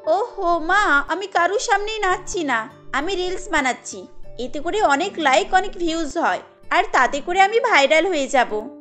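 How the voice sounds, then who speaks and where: A woman voicing a breezy, defiant, modern young daughter-in-law in an animated family story, pert and argumentative. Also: very high-pitched, a little slow, soft background music, heavily acted.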